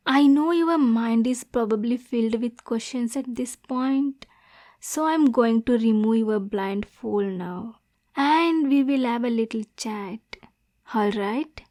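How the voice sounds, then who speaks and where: A woman's voice: fairly high pitch, slow pace, dark tone. A woman playing a lonely, anxious, lovesick demon girl in an ASMR roleplay, stammering and pleading with coaxing endearments. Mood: soothing, anxious.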